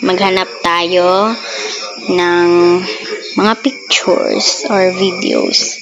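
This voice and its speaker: A woman's voice: on the low side, steady tone, very slow, dull audio, background noise. A woman with a Filipino accent hosting a casual app tutorial, giving informal step-by-step instructions. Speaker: host of a video tutorial channel.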